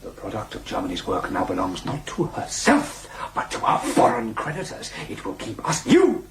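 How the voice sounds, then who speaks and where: An actor playing a fanatical nationalist demagogue and ex-soldier, declaiming at a meeting in a radio drama. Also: male voice, fairly low pitch, wildly swooping pitch, normal speed, very noisy. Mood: bitter, rousing. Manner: declamatory, builds to shouting. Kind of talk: radio drama political oratory.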